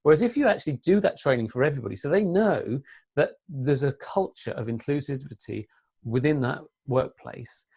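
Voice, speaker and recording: A woman with a deep voice and an English accent, speaking earnestly as an interview guest, with frequent restarts and fillers. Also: lively intonation, fairly quick, warm tone, breathy edge. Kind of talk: interview conversation.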